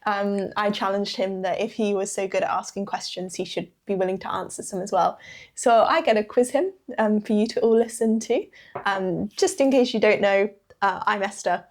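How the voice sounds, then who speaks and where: A woman's voice: mid-range voice, normal speed. A woman from the UK interviewing her husband on a podcast, asking questions in a playful, teasing way. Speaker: interviewer, guest's wife.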